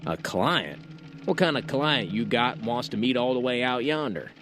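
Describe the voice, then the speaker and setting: An actor playing a rustic, superstitious carriage driver in an audio drama, with folksy, fearful warnings. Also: male voice, mid-range voice, expressive, moderate pace, a bit bright, a little hiss or hum, lightly acted. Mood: wary warning. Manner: folksy drawl, agitated, warning. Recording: clear music behind the voice.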